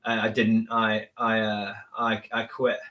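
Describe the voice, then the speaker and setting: Northern English man with an even, flat voice. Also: lowish voice, muffled recording, slight background noise. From northern England. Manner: modest, a little halting, with many restarts. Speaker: guest. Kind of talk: casual interview talk.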